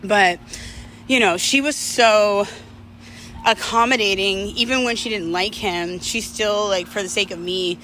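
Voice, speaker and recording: Female vlogger, bright and clear-cut tone, casual confessional delivery with slangy, reflective phrasing; faint vehicle-like noise behind. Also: mid-range voice, a little slow, rough recording. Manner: candid, reflective, conversational slang. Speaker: vlogger telling personal stories to viewers.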